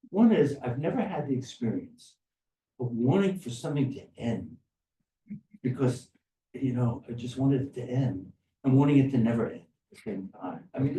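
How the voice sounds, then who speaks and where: An older man giving informal, rambling remarks to a gathering, often halting and restarting his phrases. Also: mid-range voice, dark tone. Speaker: academic philosopher and speaker at a conference. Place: echoey room at a conference. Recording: roomy, distant microphone, faint background noise.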